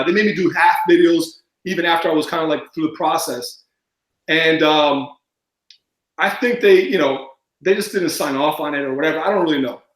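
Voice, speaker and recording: A man chatting as a podcast guest, mumbling and talking fast with lots of fillers. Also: on the high side, a bit bright, echoey room, poor audio. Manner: rambling with many fillers. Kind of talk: casual podcast chat.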